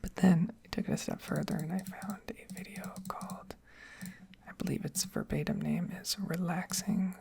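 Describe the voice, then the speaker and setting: A young woman talks in a soft, breathy half-whisper for ASMR. Her voice often dips into creaky fry and swings widely between hushed and voiced tones. She rambles reflectively, pausing and drifting into asides. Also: on the low side, big pitch swings. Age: young adult. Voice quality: breathy, mostly whispered, occasionally cracking. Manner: hesitant, reflective, wandering asides. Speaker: ASMR video creator. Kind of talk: soft-spoken ASMR, rambling personal talk.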